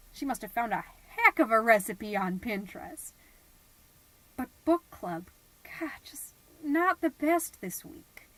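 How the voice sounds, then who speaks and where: A woman playing a chatty, high-strung suburban mom podcaster, telling stories conversationally with dramatic, confiding asides. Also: fairly bright tone, low-quality recording. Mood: relieved, then catty, exasperated. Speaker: podcast host character. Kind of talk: scripted comedic monologue storytelling, conversational.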